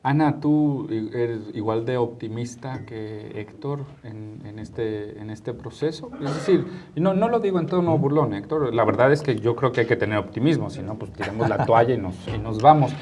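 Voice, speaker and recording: A man's voice: mid-range voice, expressive, normal speed, few pauses, darkish tone. A man with a Mexican accent hosting a conversational panel interview, often rephrasing his questions as he goes. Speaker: interviewer, talk show host.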